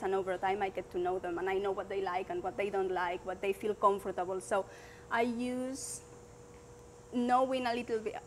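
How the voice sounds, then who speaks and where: A woman language teacher describing her students in an animated, warm way during an interview. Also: fairly high pitch, a little hiss or hum, low-quality recording. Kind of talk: conversational interview answers.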